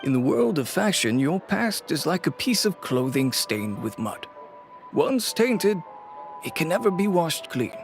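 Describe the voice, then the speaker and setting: An actor playing a weary middle-aged fashion shop owner and cautious father, speaking in a measured, reluctant way in a video game. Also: a little high, very animated, creaky, faint music behind, heavily acted. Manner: measured, reluctant, lightly sighing.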